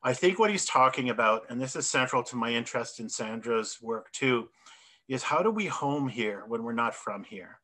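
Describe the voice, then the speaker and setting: A man's voice: medium pitch, animated, moderate pace, pushed and bright. A middle-aged man speaking reflectively in a panel discussion over a video call from a home office. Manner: reflective, gestures while talking, self-correcting. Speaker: artist presenter. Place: home office with bookshelves and plants. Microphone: video call webcam.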